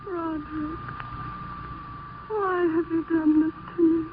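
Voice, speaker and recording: An actress playing an anguished woman back from living burial in an old radio horror play, slow and wailing. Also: very high-pitched, level tone. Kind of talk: vintage radio horror acting. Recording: tinny, noisy old radio recording.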